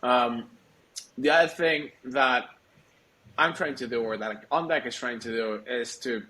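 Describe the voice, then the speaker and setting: A man's voice: mid-range voice, unhurried, sharp tone, poor audio. A man from Argentina, answering interview questions conversationally, searching for words as he explains. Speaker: startup executive, interview guest.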